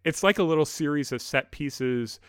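A man hosting a podcast discussion, talking in a measured way with thoughtful pauses. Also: a little high, fairly bright tone, no echo, clean studio sound. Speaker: podcast host.

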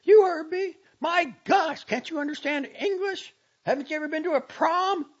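A man's voice: medium pitch, wildly swooping pitch, takes it slowly, vocal fry, a little mellow, husky, lo-fi sound, voice pushed up, exaggerated voice. A man voicing a furious, fiery young woman in a storybook, scolding scornfully in slangy bursts. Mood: furious, mocking.

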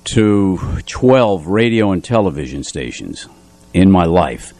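Low-voiced man on community radio, dry studio. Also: sing-song, slow, mellow, no room sound. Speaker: community radio show host. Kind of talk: casual studio conversation.

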